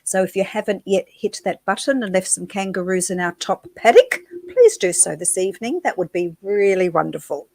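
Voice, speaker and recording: A woman's voice: medium pitch, lively intonation, moderate pace, few pauses, darkish tone, no room sound. Friendly woman co-hosting a casual livestream. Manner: friendly interjections, welcoming viewers. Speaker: co-host.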